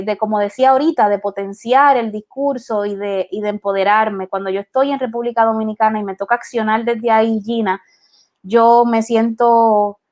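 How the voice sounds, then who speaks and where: A woman, an artist answering interview questions in a thoughtful way, with many pauses and restarts. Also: medium pitch, darkish tone. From Puerto Rico. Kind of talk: reflective conversation.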